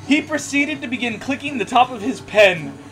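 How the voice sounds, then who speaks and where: A man's voice: very high-pitched, lively intonation, moderate pace, a bit bright, soft background music. A man co-hosting a comedy podcast, reading fan fiction aloud in a mocking, theatrical way with joking asides and stumbles over the text.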